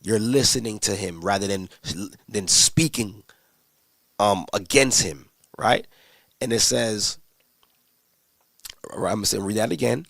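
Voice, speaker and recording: A man's voice: on the low side, slow, gravelly, long pauses, fairly bright tone, no room sound. A man teaching scripture in a casual livestream, answering viewers' questions in a conversational, slangy way with many restarts.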